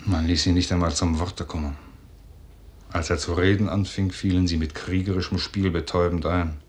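Actor as deep-voiced grave military officer. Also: male voice, fairly even intonation, normal speed, lightly acted. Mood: grave agitation. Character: grave indignant officer reporting bad news. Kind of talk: classical radio drama.

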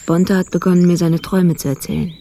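An actress playing a sober police investigator who recounts a murder case in clipped, factual phrases, in a radio drama. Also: female voice, fairly low pitch, fairly even intonation, quick pace, mellow, natural voice. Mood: sober, reporting. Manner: clipped, factual, report-like delivery. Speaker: actor in radio play. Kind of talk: scripted radio drama narration.

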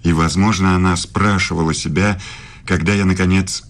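An actor playing a married middle-aged philosophy professor in a radio play, speaking formally and with quiet, insinuating intimacy. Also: male voice, low voice, fairly even intonation, moderate pace, close to an everyday voice. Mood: solemn, intimate.

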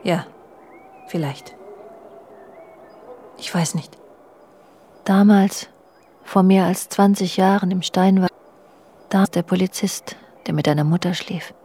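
A hushed, mellow, brooding wife. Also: female voice, lowish voice, fairly even intonation, a little hiss or hum. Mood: brooding, needling. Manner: hushed, halting, often dropping into whispers. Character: brooding, suspicious middle-aged wife named Ursula. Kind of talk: intimate German radio drama acting, dialogue and inner monologue.

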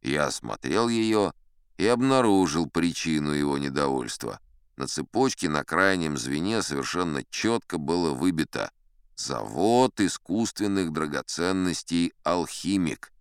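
A man narrating a scripted adventure tale in the first person, with theatrical, varied delivery and dramatic pauses. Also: a bass voice, lively intonation, smooth, no room sound, clean studio sound. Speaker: audiobook narrator.

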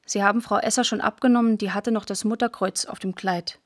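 A woman's voice: mid-range voice, fairly even intonation, fairly quick, no room sound, clean studio sound, natural voice. A woman narrating a literary radio play as a girl who remembers the war's end, observant and matter-of-fact, in short clipped sentences. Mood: sober, observant.

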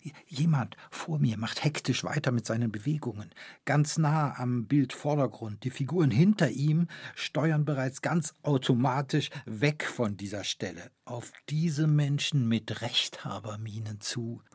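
An actor playing a sardonic male observer in a literary radio play, speaking in a measured, declamatory way. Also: mid-range voice, slightly rough, no echo, studio quality. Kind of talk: literary radio play, scripted three-way conversation.

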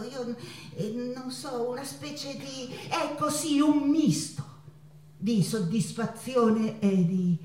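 A passionate woman painter baring her love life on stage. She speaks slowly and intimately, halting and repeating herself, with playful teasing. The sound is echoey and a little far from the mic, with faint music. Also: fairly high pitch, a little mellow. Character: Frida Kahlo, passionate woman painter confiding about her lovers. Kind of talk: theatrical stage monologue with dramatic pauses.

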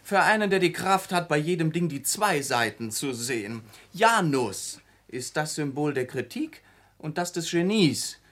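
An actor playing a worldly, cynical journalist in a German radio drama, urbane, eloquent and teasing. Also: male voice, fairly high pitch, very animated, a little slow, a bit bright, exaggerated voice. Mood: amused, mocking. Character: suave, mocking male journalist, worldly and cynical man-about-town.

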